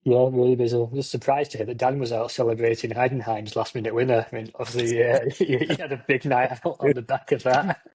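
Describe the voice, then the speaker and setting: A man, a football journalist, talking casually on a podcast with plenty of pauses and restarts. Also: mid-range voice, fairly even intonation, a little mellow, husky. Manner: rambling with many ums and restarts.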